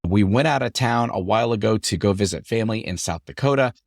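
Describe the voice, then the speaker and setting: A middle-aged man hosting his podcast in an upbeat, chatty way, his voice deepened by a lingering cough after an illness. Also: quick pace, no room sound, clean studio sound. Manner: upbeat, chatty, repetitive phrasing. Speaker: podcast host. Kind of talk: solo podcast talk.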